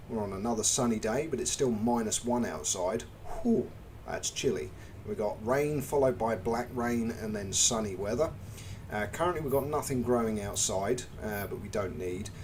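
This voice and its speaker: A man's voice: fairly low pitch, slight background noise. An English man giving casual live commentary as he plays a management game, talking through each step and chatting with viewers. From England. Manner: chatty, narrates each click, greets chat. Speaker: game streamer. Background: game music.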